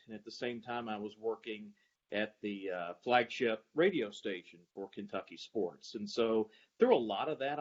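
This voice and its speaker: A man, a sports announcer answering interview questions in a halting way, searching for his words. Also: medium pitch, dull audio. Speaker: guest, arena public address announcer.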